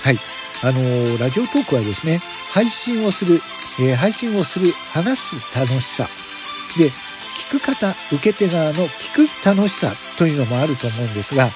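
A man hosting a podcast, making a casual announcement to listeners in polite, formal phrasing. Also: on the high side. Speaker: podcast host.

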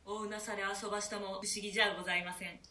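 A man's voice: high voice, flat delivery, sharp tone, reverberant, recorded from a distance. A man playing a polite, deferential jailer who speaks in formal, servile language. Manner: formal servile speech, measured.

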